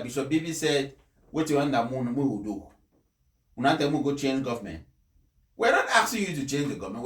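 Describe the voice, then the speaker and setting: Echoey, emphatic man. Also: fairly high pitch. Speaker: speech reader and commentator. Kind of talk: formal read-aloud speech, then impassioned personal commentary. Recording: echoey, reverberant room sound.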